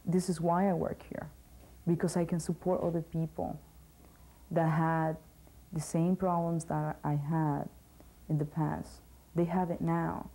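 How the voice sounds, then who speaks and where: A woman from Nicaragua, a staff member speaking in plain, measured statements in an interview. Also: deep voice, level tone, gravelly, mellow, low-quality recording.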